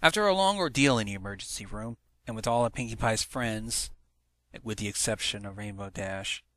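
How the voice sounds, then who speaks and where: A man narrates a dramatic story in a fairly low voice. The tone is bright and forceful, and the recording is close and dry. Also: normal speed, dry recording. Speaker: fan fiction narrator.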